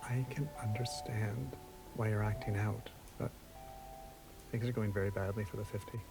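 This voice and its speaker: An actor playing a grave, pleading authority figure in a video game, measured and earnest. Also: male voice, fairly low pitch, steady tone, gravelly. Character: grave, pleading military-science authority figure, adult man. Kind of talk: dramatic video game acting. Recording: loud music under the voice.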